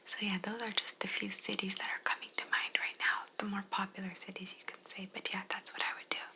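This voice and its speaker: Canadian woman in a bright whisper. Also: mid-range voice, level tone, fast. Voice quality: soft unvoiced whisper. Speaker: whispering Q&A host. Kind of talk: ASMR whispered Q&A.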